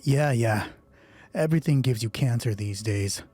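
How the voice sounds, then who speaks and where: A man's voice: mid-range voice, moderate pace, warm tone, slightly rough, faint music behind, close to an everyday voice. A man voicing a wisecracking, grumbling male assault gunner in a tabletop roleplay story, with sardonic delivery. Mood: dismissive.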